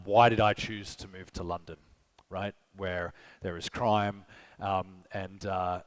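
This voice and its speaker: A man with an Australian accent giving a conversational conference talk, asking the audience questions and often checking in with "okay?" and "right?". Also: lowish voice. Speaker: conference speaker. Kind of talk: live keynote presentation. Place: conference stage.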